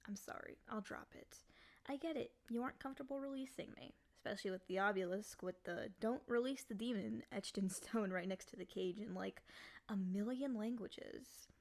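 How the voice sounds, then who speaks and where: A woman voicing a lonely, clingy female demon in a soft, often whispery voice, coaxing and pleading in an intimate ASMR roleplay. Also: mid-range voice, normal speed, natural voice. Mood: apologetic.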